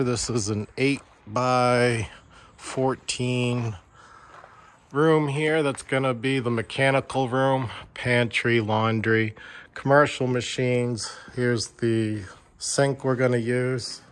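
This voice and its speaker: Slow, matter-of-fact builder touring his site. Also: medium pitch, fairly even intonation. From Vermont. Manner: plain, matter-of-fact, unhurried. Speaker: builder giving a site tour. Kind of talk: casual walkthrough narration. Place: outdoors at a building site in woods. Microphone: handheld phone camera.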